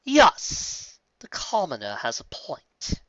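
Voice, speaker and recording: Storybook villain: sinister dark alicorn mare, taunting and clever, put on by a male reader. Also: fairly high pitch, huge pitch swings, no echo, highs cut off, voice pushed up, full character voice. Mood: menacing. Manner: hissing, taunting, slow and cold.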